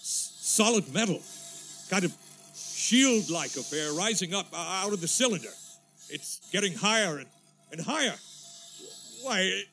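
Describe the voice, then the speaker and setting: An actor playing a confident militia captain reporting from the field in a 1930s-style radio drama, in a clipped military manner. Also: male voice, sits high, bright, forceful tone, exaggerated voice, rough recording. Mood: rising alarm.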